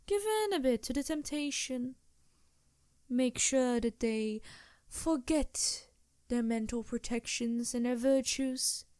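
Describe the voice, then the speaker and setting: A woman acts out a haughty succubus with a highish voice, recorded close and dry. She draws her words out slowly, flirtatious and vain, in an intimate ASMR roleplay style. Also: a little mellow, no echo. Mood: seductive, teasing. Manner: languid, flirtatious, theatrical, sighing. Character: vain, seductive succubus demon, haughty and teasing. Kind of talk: ASMR roleplay, improvised character acting.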